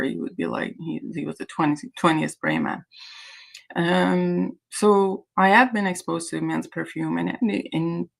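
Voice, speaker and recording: A woman's voice: deep voice, vocal fry. A woman from Iran whose native language is Azeri, hosting a casual livestream chat in a hesitant, rambling way. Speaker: livestream host.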